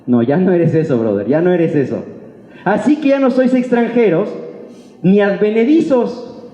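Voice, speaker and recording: High-voiced Mexican preacher in an echoey hall. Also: male voice, lively intonation, normal speed, mellow. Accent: Mexican Spanish. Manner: colloquial, playful, acts out imagined remarks and asks rhetorical questions. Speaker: pastor preaching to a church congregation. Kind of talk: informal sermon. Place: reverberant church hall. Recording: echoey hall, long reverb, dull sound.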